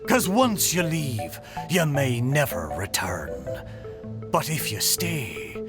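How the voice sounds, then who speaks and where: An actor voicing a talking boat in a video game, a jolly, boastful old sailor with hearty pirate slang. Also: male voice, mid-range voice, big pitch swings, takes it slowly, over music. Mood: hearty, earnest. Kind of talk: video game character acting.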